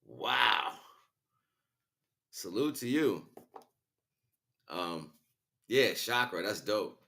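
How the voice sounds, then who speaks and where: Low-voiced man rambling casually. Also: animated, extremely slow, gravelly, sharp tone. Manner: conversational, frequent "you know what I'm saying" tags. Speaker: livestream host. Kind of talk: casual rambling spiritual talk to live chat.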